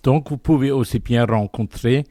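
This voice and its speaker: A man's voice: medium pitch, normal speed, mellow, no echo, clean studio sound. A man hosting a conversational interview, putting questions to his guest. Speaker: interviewer, programme host. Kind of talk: conversational radio-style interview.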